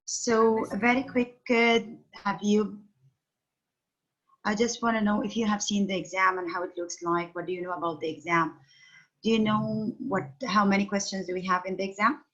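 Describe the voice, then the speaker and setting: A woman's voice: medium pitch, flat delivery, moderate pace, a bit bright. A middle-aged woman teaching an online English class over a phone video call, patiently questioning her students. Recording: dull lo-fi call audio.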